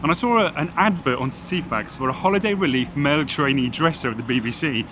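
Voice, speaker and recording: A man's voice: fairly high pitch, fast. A middle-aged man from Wales with a Welsh accent tinged with Geordie, chatting casually and confidently about his work as a fashion stylist while someone films him on a phone. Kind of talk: casual on-the-street chat. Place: outdoors on a city street. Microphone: phone camera held by the other person. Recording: street noise behind, phone recording.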